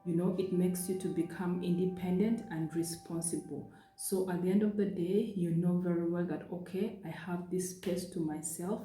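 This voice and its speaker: A woman's voice: on the low side, fairly even intonation, normal speed, hardly any pauses, warm tone. A young woman from Uganda chatting casually in a friendly, rambling way as a vlogger. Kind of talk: casual vlog talk. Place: apartment room. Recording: echoey room, faint music.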